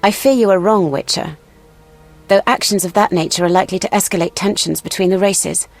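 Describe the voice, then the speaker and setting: Proud, grave elf huntress. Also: female voice, fairly low pitch, a little fast, faint music behind. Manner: formal, grave. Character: proud, serious elven woman, a wounded monster hunter. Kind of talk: video game dialogue.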